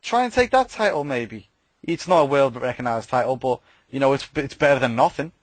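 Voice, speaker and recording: A man's voice: medium pitch, animated, fairly quick, no room sound. A man chats casually as a podcast co-host. He hesitates often and leans on fillers. The sound is dry and close to the mic. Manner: hesitant, many fillers like "you know". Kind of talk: casual chat.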